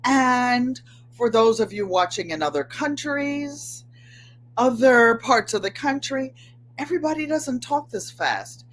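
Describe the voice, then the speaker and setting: Chatty older New England woman, slow and higher. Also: a little hiss or hum. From Connecticut, USA. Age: 70s. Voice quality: slightly nasal, twangy. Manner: chatty, excitable, gestures with hands. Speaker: channel host. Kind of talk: casual livestream chat. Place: home room with bookshelves.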